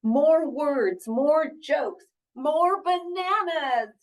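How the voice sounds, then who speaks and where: Woman voicing an excitable, anxious storybook elephant. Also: sits high, extremely slow, distant mic, voice pushed up. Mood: pleading. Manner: dramatic, exclaiming, emotional. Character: excitable, anxious picture-book elephant who begs to be read.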